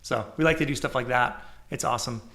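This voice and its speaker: A man's voice: mid-range voice, fast, vocal fry, fairly bright tone. Upbeat man with a drawl. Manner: upbeat, promotional, says y'all. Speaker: podcast co-host. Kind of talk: casual live-stream chat.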